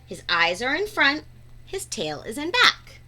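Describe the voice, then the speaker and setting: A woman reading a children's picture book aloud in an expressive, deliberate, teacher-like way. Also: high voice. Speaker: read-aloud storyteller.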